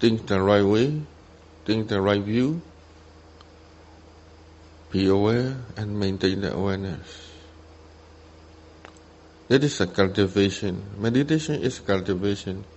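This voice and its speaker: A man with a Burmese accent, a meditation teacher, giving guided instruction in short simple phrases with long pauses between them. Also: on the low side, darkish tone, slight background noise. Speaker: meditation teacher, Buddhist monk.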